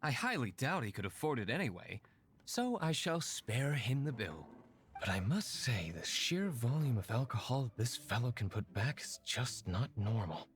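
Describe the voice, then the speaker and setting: Anime game wine baron, male actor: young, dry, formal, stern, medium pitch. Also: normal speed, natural voice. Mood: dry, disapproving. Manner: deadpan, formal. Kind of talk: anime game dub acting.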